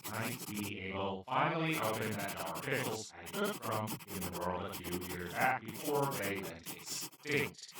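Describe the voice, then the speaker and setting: Heavy echo surrounds a kindly, well-meaning male alien, acted by a man, heard a little way off from the mic. His voice is bright and forward. He talks to the listener like a careful, awkward host in a comedy roleplay, earnest, formal and prone to rambling. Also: lowish voice, a little hiss or hum. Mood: curious, rambling. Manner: gentle, earnest, apologetic, slightly formal and rambling. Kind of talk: scripted comedic audio roleplay, addressing the listener. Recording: roomy, echoing, distant-sounding voice.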